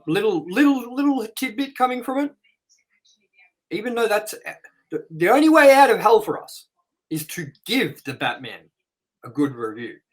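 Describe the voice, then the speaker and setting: A man hosting a review show, rambling and excitable as he wraps up the episode with plugs. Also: high voice, normal speed, long pauses, rough recording. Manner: rambling and excitable, with sound-effect noises. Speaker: host. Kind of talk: casual episode wrap-up with plugs.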